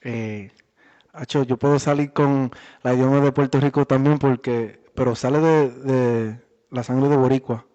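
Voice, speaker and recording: Young male boxer guest, laid-back halting delivery, dark flat tone, reverberant lo-fi audio. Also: medium pitch. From Worcester, Massachusetts area. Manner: relaxed, halting, many fillers. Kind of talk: casual interview chat. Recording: dull lo-fi audio in a reverberant room.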